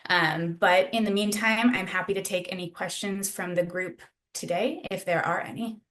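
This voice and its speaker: A woman presents a webinar on a video call, answering questions in a friendly, measured way with frequent ums. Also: fairly low pitch, fairly even intonation, a bit bright.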